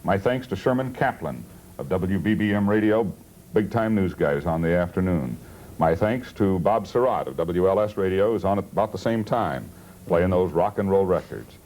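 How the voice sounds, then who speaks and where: Low-voiced man hosting late-night talk. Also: animated, normal speed, darkish tone, poor audio. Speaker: talk show host. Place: TV studio. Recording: old TV tape, faint noise.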